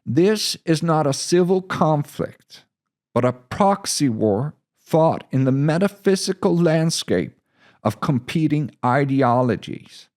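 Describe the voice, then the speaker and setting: A man's voice: a little high, expressive, slow pace, a little mellow, pitched above the performer's own voice. A man voicing a zealous military commander from a short story, speaking in an emphatic, oratorical way. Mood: fervent, defiant.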